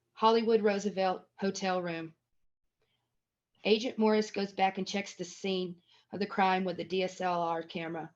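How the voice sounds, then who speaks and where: A woman narrating a screenplay at a table read, reading scene headings and stage action as scripted narration. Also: medium pitch. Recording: dull, lo-fi sound.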